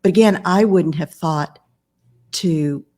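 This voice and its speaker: Woman, 50s, author and coach. Calm, steady and measured, with a lowish voice. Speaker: guest business coach and author. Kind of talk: podcast interview conversation.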